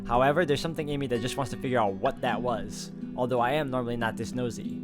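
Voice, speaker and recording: Game streamer, mid-pitched man, reads a story and talks through choices over loud music. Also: fast. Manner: thinks aloud with hesitations and asides. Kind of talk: narrating and commenting on a visual novel.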